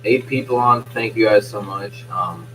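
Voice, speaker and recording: A young man gives casual play-by-play with a hoarse, fading voice, keeping it low and hushed. His voice sits on the low side. A faint hum runs under the home recording. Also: unhurried, rough recording. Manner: quiet, subdued talk. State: losing his voice. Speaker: live streamer doing play-by-play. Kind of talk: casual live-stream sports commentary. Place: at home at night.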